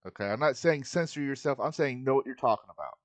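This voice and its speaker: A man's voice: mid-range voice, quick pace. A man hosting a live game stream, talking earnestly and casually while greeting his chat. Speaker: livestream host.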